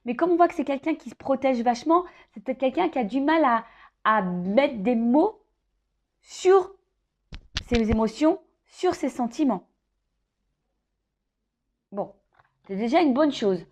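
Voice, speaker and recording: A woman's voice: sits high, expressive, measured pace, pauses often, a little mellow. A woman giving an informal, improvised tarot reading straight to the listener, thinking aloud with hesitations, repeats and little tag questions. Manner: hesitant, thinking aloud, with frequent "hein" tags and repeated phrases. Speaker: tarot card reader hosting a love horoscope reading. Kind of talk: informal improvised tarot guidance addressed to the viewer.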